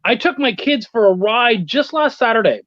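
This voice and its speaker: A middle-aged man with a distinctly high voice chats casually as a guest, telling anecdotes. He is blunt and swears freely. The sound is dull and lo-fi, with very little room. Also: lively intonation, moderate pace, fairly bright tone, no echo. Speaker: interview guest, freelance writer.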